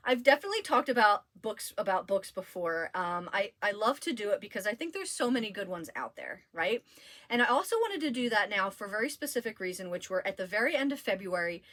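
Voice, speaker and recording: A woman's voice: medium pitch, animated, brisk, a bit bright. A woman giving casual, chatty recommendations like a librarian, with an enthusiastic, gushing and emphatic way of talking. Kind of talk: casual, chatty book review.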